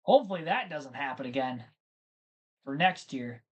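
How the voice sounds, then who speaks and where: A man's voice: mid-range voice, very animated, moderate pace. A man hosting a live race stream, chatting casually and rambling to his viewers between race updates. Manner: chatty, rambling, talks to chat.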